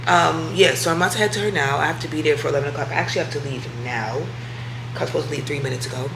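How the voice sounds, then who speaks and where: A woman's voice: deep voice, racing, creaky, noisy background. A woman vlogger chatting casually to her viewers, slangy and talkative, with a congested voice and a sore throat. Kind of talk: casual vlog chat to camera.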